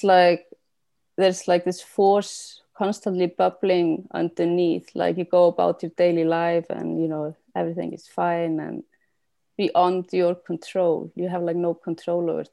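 This is a woman from Iceland, answering questions about her art. Her voice sits low, warm and mellow, with a grainy fry. She talks loosely and reflectively, leaning on fillers. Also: measured pace. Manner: relaxed, frequent "like" fillers, hopeful. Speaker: artist. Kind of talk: conversational interview answer.

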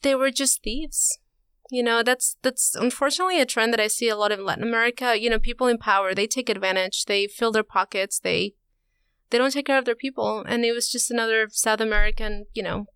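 A woman's voice: mid-range voice, a bit bright, clear, resonant tone, dry recording, clean studio sound. A young woman from Venezuela, a college student answering interview questions in a chatty way, peppered with "you know" and "like". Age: young adult. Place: studio.

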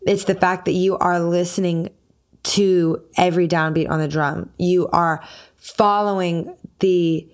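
Animated woman singer telling a story. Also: lowish voice, measured pace, clean tone. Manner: animated storytelling, acts out quoted dialogue. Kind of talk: casual conversation.

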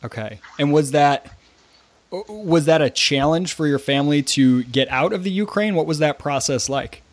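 A man's voice: a little high, lively intonation, normal speed, dry recording. A man hosting an interview podcast, talking casually with plenty of fillers and restarts as he introduces his guest and asks questions. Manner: chatty, with frequent restarts and fillers. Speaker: podcast host.

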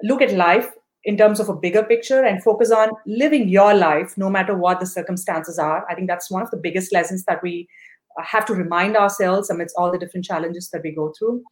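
A woman's voice: fairly low pitch, fairly quick. A woman hosting a podcast interview, encouraging and praising her guest, with many fillers. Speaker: podcast host.

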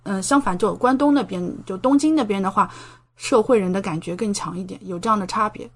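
A woman's voice: medium pitch, brisk. Chatty young woman explaining things. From China, Shanghai area. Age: young adult. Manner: chatty, explanatory, gives examples with acted-out dialogue. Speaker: podcast guest, doctoral student in Japan. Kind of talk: casual interview conversation.

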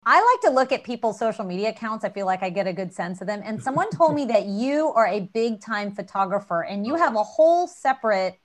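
An American woman interviews in a casual, chatty way. Her pitch is average for a woman. She phrases questions informally and mixes in asides about herself. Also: big pitch swings, brisk, few pauses. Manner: informal, shares personal asides.

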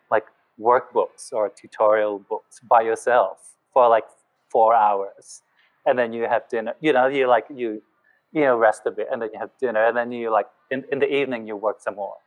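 A man from Malaysia, a guest talking in a reflective, hesitant way. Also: mid-range voice. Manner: hesitant, many fillers and restarts, reflective. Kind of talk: casual podcast chat. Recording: phone-like narrow sound.

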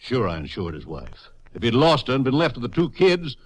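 An indignant small-town businessman under suspicion in vintage radio style. He is combative and emphatic. His medium-pitched voice swings in a very lively range. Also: a little fast, dry recording. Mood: defensive, impassioned. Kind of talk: 1950s radio drama acting.

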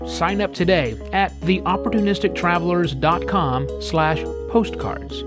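A man's voice: high-pitched, unhurried. A man co-hosting a travel podcast, narrating with dry, wry humor. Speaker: podcast co-host. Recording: narration over background music. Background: theme music.

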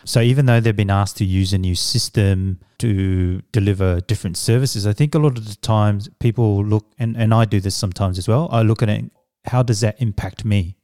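A middle-aged man, a native Samoan speaker, answering interview questions in a measured, reflective way as a business coach. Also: fairly low pitch, warm tone, clear, resonant tone, no room sound, clean studio sound. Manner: measured, reflective, with fillers and restarts.

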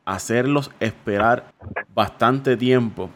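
A man's voice: medium pitch, slow. A man with a Puerto Rican accent hosting a baseball talk show, talking at length with frequent "eh" fillers. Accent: Puerto Rican Spanish. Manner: discursive, many "eh" fillers. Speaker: sports show host.

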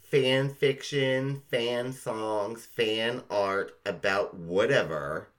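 Middle-aged male co-host, slow-paced and conversational, mid-range voice, looking back informally with emphatic phrasing and swearing. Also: mid-range voice, fairly bright tone. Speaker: podcast co-host. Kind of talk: casual reminiscing chat.

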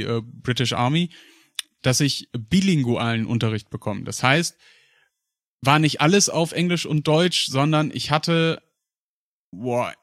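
Chatty German podcast host, dry sound. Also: male voice, mid-range voice, fairly bright tone, dry recording. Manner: rambling, chatty storytelling with fillers and laughs. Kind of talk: casual chat.

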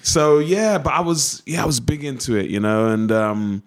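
A man's voice: medium pitch, normal speed. A man with an East London accent, a guest actor chatting casually in an interview, rambling with lots of fillers and restarts. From East London, England. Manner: laid-back, rambling with many fillers and restarts.

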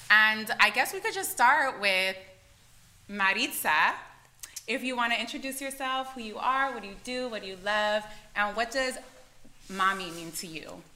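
A woman's voice: mid-range voice, sharp tone. Eager young woman podcast host. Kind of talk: casual podcast chat.

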